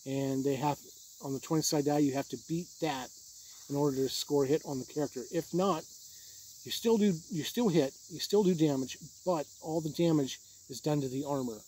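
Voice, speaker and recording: A man's voice: a little high, normal speed, dark tone, a little hiss or hum, rough recording. A man giving an informal, unscripted review in a plain-spoken, matter-of-fact way. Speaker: tabletop game reviewer.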